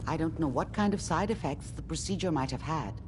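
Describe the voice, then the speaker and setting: A woman voicing a careful, concerned doctor in a video game, speaking in a deliberate, measured way. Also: low-pitched, animated, noisy background.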